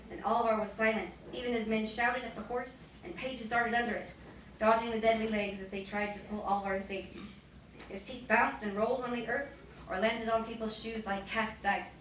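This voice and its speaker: A young woman, an author giving an expressive reading of her novel at a bookstore event. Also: a little high. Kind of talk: expressive public book reading. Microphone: boom-stand mic at lectern, distant camera. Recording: roomy, distant, noisy bookstore recording.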